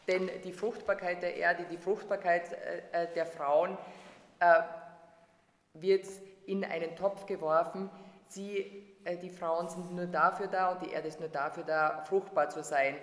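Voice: medium pitch, steady tone, a little slow, fairly bright tone. An interpreter translating a lecture, hesitant with frequent fillers and restarts. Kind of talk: consecutive interpreting. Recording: echoey hall.

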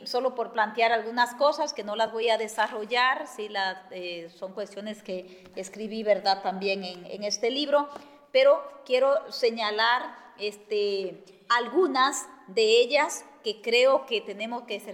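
A woman from Guatemala, giving a measured lecture with many pauses and fillers. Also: on the high side, fairly bright tone. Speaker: speaker. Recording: echoey hall.

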